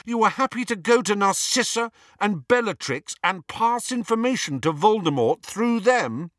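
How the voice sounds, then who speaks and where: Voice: mid-range voice, animated, normal speed, fairly bright tone, dry recording, clean studio sound, pitched above the performer's own voice, natural voice. A performer voicing an indignant teenage boy in an audiobook, questioning relentlessly and insistently. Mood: relentless, challenging. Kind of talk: audiobook character acting.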